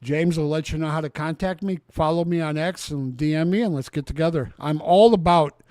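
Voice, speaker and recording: Guy in his 60s hosting a chatty livestream, voice a bit high, close dry sound. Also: a little fast, a little mellow, no echo. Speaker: talk show host. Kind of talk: casual political livestream chat.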